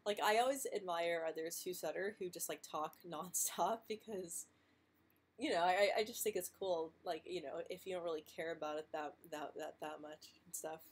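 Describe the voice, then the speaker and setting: Soft-spoken young woman who stutters. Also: on the low side, moderate pace, slightly rough. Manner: stutters with frequent sound and word repetitions; soft-spoken, laughs lightly between answers. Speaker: vlogger answering viewer questions live. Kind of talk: live stream Q&A, casual chat.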